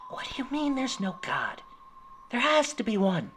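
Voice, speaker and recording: A man's voice: extremely high pitch, very animated, normal speed, a bit bright, slight background noise, voice pushed up, exaggerated voice. A man voicing a scared, innocent young boy in a horror story, hesitant and questioning, stammering and pleading. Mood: shocked.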